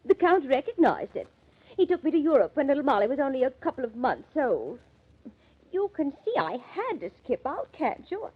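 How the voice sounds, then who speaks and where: A woman's voice: sits high, big pitch swings, moderate pace. An actress playing a nervous, self-justifying social climber, pleading her way through 1950s radio melodrama. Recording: muffled old radio recording.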